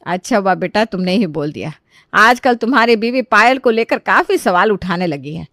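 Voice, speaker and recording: Older mother-in-law, played by a woman narrator: suspicious, scolding, protective of her daughter, pitched down, family melodrama. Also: lively intonation, moderate pace, a bit bright, no echo, clean studio sound. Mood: resentful. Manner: scolding, accusing, taunting.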